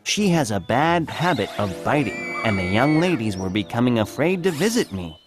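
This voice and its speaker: A man's voice: mid-range voice, very animated, moderate pace, over music, voice pushed up, close to an everyday voice. A man voicing a chatty little pony. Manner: friendly, gossipy. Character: chatty fat gray male pony.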